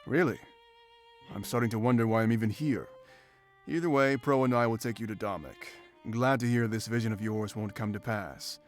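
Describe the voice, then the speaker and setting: A man's voice: fairly low pitch, normal speed, faint music behind, natural voice. A man voicing a confident, teasing male beast-folk rogue in a visual novel game, with a wry, smug manner. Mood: wry, composed.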